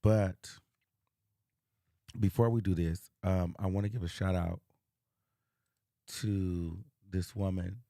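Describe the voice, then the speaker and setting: A man hosting a livestream with a hoarse, worn-out voice that is nearly gone. He speaks slowly in a low, dark tone with a gravelly edge. The recording is dry and close. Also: steady tone, no room sound. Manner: soft, subdued, talking through a lost voice. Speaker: life coach hosting a livestream. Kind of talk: casual livestream talk.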